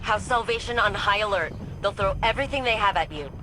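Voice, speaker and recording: A woman's voice: mid-range voice, animated, fairly quick, lightly acted. A woman playing a serious military ally in a video game, giving a terse, businesslike and urgent briefing. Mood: urgent, determined. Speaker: mission briefer. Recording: clear background noise and vehicle ambience.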